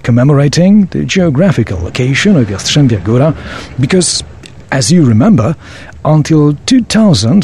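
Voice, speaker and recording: A man's voice: fairly high pitch, a little mellow, slight background noise. A man playing a knowledgeable, obliging local tour guide in a scripted audio drama, speaking in a formal, presentational way.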